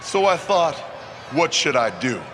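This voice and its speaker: An actor playing a menacing pro wrestler in a video game, taunting with mock-casual, deliberate storytelling. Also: male voice, fairly high pitch, big pitch swings, fairly bright tone, rough recording. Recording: clear outdoor background noise.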